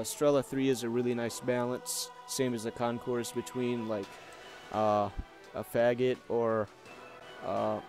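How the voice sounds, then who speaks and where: A man casually walking through his game setup, rambling with fillers and correcting himself as he goes. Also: mid-range voice. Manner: relaxed, rambling, many fillers and self-corrections. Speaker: gaming YouTuber. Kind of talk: casual game deck walkthrough.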